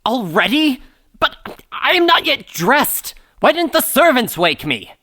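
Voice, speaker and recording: A man's voice: extremely high pitch, very animated, unhurried, pushed and bright, slightly rough, no room sound, clean studio sound, heavily acted. An actor playing a pompous, short-tempered male steward, speaking in a formal, haughty manner for a dramatized audiobook. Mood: flustered, irritated. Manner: haughty, indignant, formal servant speech. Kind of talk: dramatized audiobook character acting.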